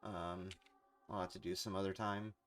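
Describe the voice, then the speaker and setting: A man's voice: deep voice, little pitch movement, moderate pace, fairly bright tone, a little hiss or hum. A man casually commentating on a game as he plays live, rambling and thinking aloud. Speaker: game streamer.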